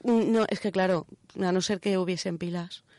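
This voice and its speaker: Low-pitched Spanish woman, casual chat. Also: fairly even intonation, normal speed, a little mellow, dry recording. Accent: Spain. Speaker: co-host of a science radio show.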